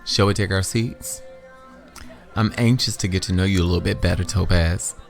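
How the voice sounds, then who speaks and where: Audio story love interest, male, laid-back and gentlemanly, dropped pitch, put on by a man, light music behind. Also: steady tone, normal speed, creaky. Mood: courteous, interested. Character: smooth, laid-back, courteous male suitor who fixes cars.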